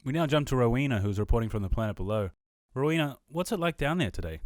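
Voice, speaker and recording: A man's voice: mid-range voice, lively intonation, fast, noisy background, close to an everyday voice. A man playing a genial radio host and Earth enthusiast in a science fiction audio drama. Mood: presenting.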